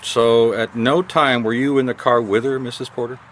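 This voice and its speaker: An actor playing a shrewd, dogged private detective in a radio mystery, questioning people in a wry, seemingly casual way. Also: male voice, mid-range voice, steady tone, moderate pace, natural voice. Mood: probing. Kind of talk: radio mystery drama acting. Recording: noisy radio drama recording.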